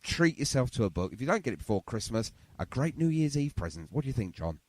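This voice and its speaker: A man's voice: mid-range voice, sing-song, fast, darkish tone, no echo. A man hosting a casual radio interview in a chatty, effusive way, often repeating his phrases. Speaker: radio show host.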